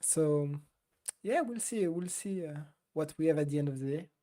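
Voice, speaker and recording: A woman's voice: sits low, animated, normal speed, mellow. A middle-aged woman co-hosting a casual gaming podcast, talking loosely with many fillers and hesitations. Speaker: podcast co-host.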